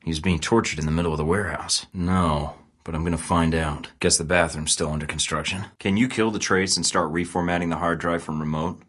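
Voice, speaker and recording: A man's voice: low voice, fairly bright tone, husky. An actor playing a wisecracking male secret agent in a video game who stays cool under pressure and trades dry, sarcastic quips. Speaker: protagonist of a spy game.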